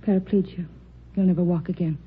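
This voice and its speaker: An American actress playing a brisk, matter-of-fact hospital nurse in a 1950s radio drama. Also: female voice, lowish voice, fairly even intonation, natural voice. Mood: grave, factual. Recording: thin, phone-like old radio recording, hiss.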